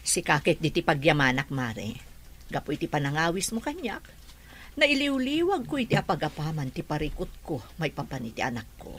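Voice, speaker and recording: A woman's voice: low voice, big pitch swings, fairly quick, a bit bright, a little hiss or hum. An actress playing an anxious, middle-aged mother in an emotional radio drama. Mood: worried, subdued. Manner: emotional, fretful. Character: anxious middle-aged mother, fretting over her wayward daughter.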